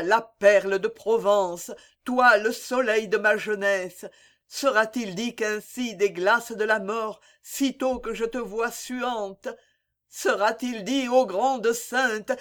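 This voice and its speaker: A man's voice: sits high, a bit bright. A man reading the part of a grieving young basket weaver, in an impassioned, pleading way. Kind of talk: dramatic poem reading.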